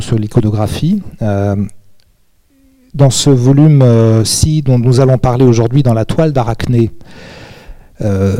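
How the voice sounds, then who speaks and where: A man moderating an onstage interview at a translation conference, halting and hesitant, with many pauses and restarts. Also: medium pitch, dark tone, no echo.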